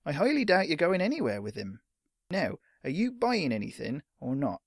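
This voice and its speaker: A man's voice: fairly high pitch, huge pitch swings, moderate pace, creaky, no echo, studio quality, voice pushed up, heavily acted. A man voicing a small, stout, suspicious shopkeeper who talks curtly. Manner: curt and suspicious.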